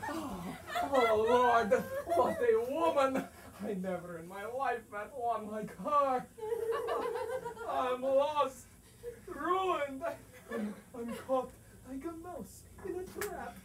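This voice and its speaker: A man's voice: very high voice, lively intonation, a little hiss or hum, exaggerated voice. A man playing a stammering, blustering lovestruck landowner. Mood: smitten despair. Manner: stammering, halting, bursting into shouts. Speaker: student stage actor. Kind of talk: theatrical comic stage acting. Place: theatre stage, recorded from the audience. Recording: distant stage microphone, music behind.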